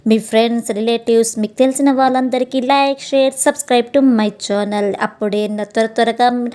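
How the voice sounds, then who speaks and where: A woman narrates a romantic tale in the first person. Her voice sits fairly high, with a very mellow, dark-edged tone. She tells it expressively, addressing her listeners directly as a storyteller. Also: steady tone, a little slow, clear, resonant tone. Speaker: storyteller, channel host.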